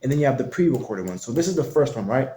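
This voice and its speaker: A man's voice: mid-range voice, animated, very fast, warm tone. Casual man coaching in an echoey room. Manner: loose and conversational, frequent restarts and fillers. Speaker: online marketing coach. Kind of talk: casual tutorial talk.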